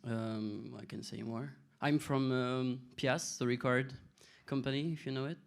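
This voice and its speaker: A man's voice: medium pitch, fairly even intonation, a little slow, creaky, clear, resonant tone. A man in his twenties with a French accent, hesitantly introducing himself to new colleagues. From France. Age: 20s. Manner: hesitant, many fillers.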